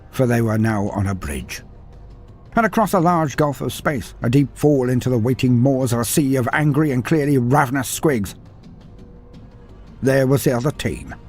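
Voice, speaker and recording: A man reading a scripted story aloud with dramatic, expressive delivery. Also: medium pitch. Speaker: narrator.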